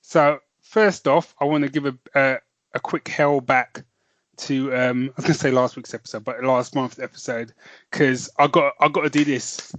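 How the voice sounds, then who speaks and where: A man's voice: mid-range voice, moderate pace, dry recording. A man co-hosting a podcast in casual chat, telling stories with lots of laughter and a habit of saying "man". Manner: laughing, animated storytelling with "man" and "mate". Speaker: podcast co-host.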